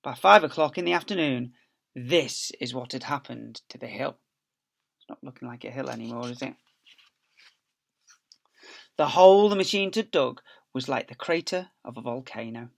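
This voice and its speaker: A man's voice: mid-range voice, lively intonation, normal speed, gravelly, long pauses. A man, an infant school teacher, reading a story expressively to young children.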